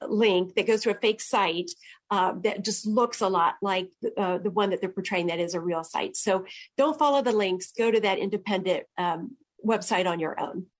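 Woman consumer-advice spokesperson, filler-heavy interview speech. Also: medium pitch. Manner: lots of uh and um fillers.

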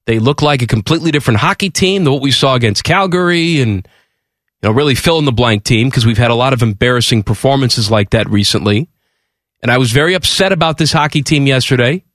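A sports radio host with a snotty, stuffed-up voice swings his pitch up and down as he jokes and reads promos. The sound is close and dry from a studio mic, with no room around it. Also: expressive, brisk, a bit bright, no echo. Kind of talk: morning radio banter.

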